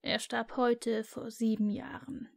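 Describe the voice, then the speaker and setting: A woman's voice: fairly high pitch, level tone, takes it slowly, creaky, in a whisper. Scrooge, a woman voicing a grumpy old miser. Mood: scornful. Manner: curt, scornful grumbling.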